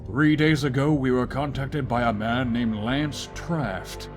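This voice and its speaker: An actor playing a serious, measured police chief in an audio drama, briefing officers in a grave, deliberate way. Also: male voice, mid-range voice, steady tone, faint music behind, natural voice.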